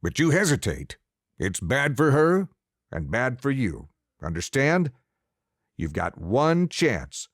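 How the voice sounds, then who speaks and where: Man voicing a harsh rural father, gruff and commanding; animated pitch, dry recording. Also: slow, dry recording, heavily acted. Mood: stern. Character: harsh rough farmer father, gruff, impatient. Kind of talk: audiobook character voice.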